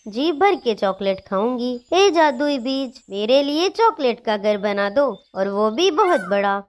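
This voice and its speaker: A woman voicing a greedy, excitable and boastful female bird in a children's cartoon, exclaiming dramatically. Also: on the high side, unhurried. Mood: excited. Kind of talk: Hindi children's cartoon dubbing.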